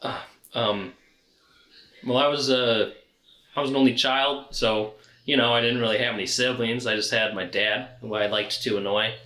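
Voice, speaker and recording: A man's voice: medium pitch, fairly even intonation, normal speed, pushed and bright. A young man co-hosting a podcast in casual chat, talking in a hesitant, fumbling, offhand way. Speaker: podcast co-host. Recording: roomy, echoey room.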